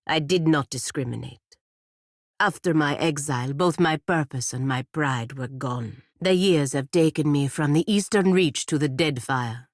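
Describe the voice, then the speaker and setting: Low-voiced, proud warrior woman. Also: no echo, clean studio sound. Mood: bitter recollection. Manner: formal, blunt, haughty and disdainful. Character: proud, stern godlike female warrior serving her republic. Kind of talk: scripted video game character dialogue.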